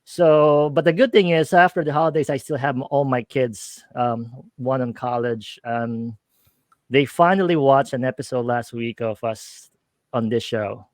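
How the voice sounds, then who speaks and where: A man's voice: mid-range voice, measured pace, dry recording. Upbeat Filipino-accented man, dry mic. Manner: upbeat, hesitant with many fillers. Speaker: podcast co-host. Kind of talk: casual chat.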